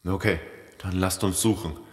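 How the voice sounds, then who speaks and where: A man in a German audio drama playing a steady, practical survivor with a brisk manner. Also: deep voice, lightly acted. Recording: long hall reverb.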